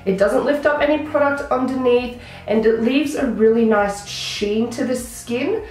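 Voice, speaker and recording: A young woman with a croaky voice, chatting enthusiastically through a makeup tutorial to a close camera in a small room. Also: a little high, unhurried, darkish tone, faint music behind. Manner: chatty, enthusiastic product talk. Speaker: beauty vlogger. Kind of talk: casual makeup tutorial chat. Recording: very echoey room.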